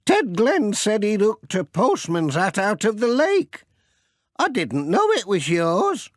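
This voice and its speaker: A man's voice: sits high, huge pitch swings, takes it slowly, no echo, studio quality, voice pushed up, exaggerated voice. A man voicing a kindly, fussing elderly village granny in a children's storybook, exclaiming and chatty. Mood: surprised, chatty.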